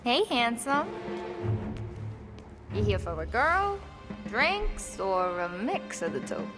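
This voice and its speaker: An actress playing a flirtatious, welcoming brothel hostess of the 1930s in a video game, with an inviting manner. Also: female voice, fairly high pitch, sing-song, takes it slowly, over music. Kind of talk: cinematic video game dialogue.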